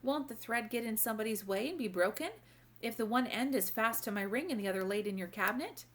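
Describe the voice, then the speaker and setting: A woman's voice: on the high side, fast, a bit bright, voice pushed up, close to an everyday voice. A woman voicing a sweet, curious and polite little princess in a storybook read-aloud, exclaiming and asking wide-eyed questions. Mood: earnest.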